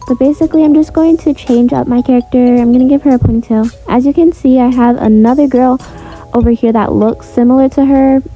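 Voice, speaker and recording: A woman's voice: sits high, fairly even intonation, fairly quick, warm tone, soft background music, rough recording. A woman giving a casual, rambling step-by-step tutorial voice-over in an informal way. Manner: informal, rambling, repeats filler phrases. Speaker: video creator and host of a tutorial.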